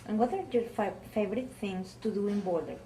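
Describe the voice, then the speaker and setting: A woman interviewer asking questions in a halting way, often rephrasing them. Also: medium pitch, dark tone, poor audio. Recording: faint background noise, room ambience.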